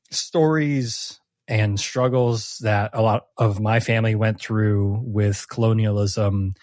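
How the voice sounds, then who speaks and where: A man's voice: on the low side, no echo, studio quality. A man hosting a casual post-game chat as game master, speaking in an earnest, reflective way. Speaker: game master and host of a tabletop campaign podcast.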